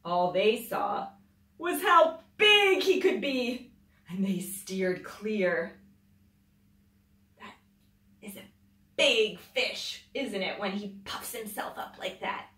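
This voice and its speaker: Animated woman reading a children's storybook. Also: medium pitch. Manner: dramatic, emphatic storytelling with drawn-out words. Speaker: children's storytime host, teacher. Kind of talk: expressive children's picture book read-aloud. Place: small indoor library room with tiled floor. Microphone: camera a few metres away. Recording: roomy echo, distant microphone.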